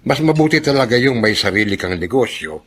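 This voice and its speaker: A man's voice: mid-range voice, animated, a little fast, a bit bright. An actor playing a remorseful, humble elderly father in a Filipino radio drama, with a Filipino accent. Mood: wistful, gentle.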